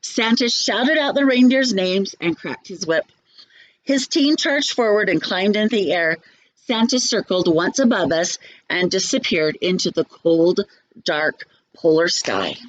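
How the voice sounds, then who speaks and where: Brief: female storybook reader, mid-pitched, expressive and measured, teacherly delivery for children. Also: dull audio. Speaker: teacher reading a picture book aloud. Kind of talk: storybook read-aloud.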